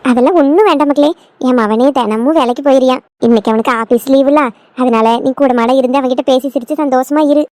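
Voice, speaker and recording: A sentimental older mother-in-law from a village family drama, voiced by a woman, fussing and coaxing in a high voice. Her accent is southern Tamil Nadu and the recording is dry. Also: quick pace, darkish tone, dry recording, close to an everyday voice. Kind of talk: Tamil family skit acting.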